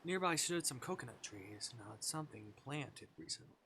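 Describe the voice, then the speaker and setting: A man's voice: mid-range voice, animated, moderate pace, bright, forceful tone, slight background noise. A man voicing an uneasy, confused young woman who narrates a visual novel in the first person, over music. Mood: awed.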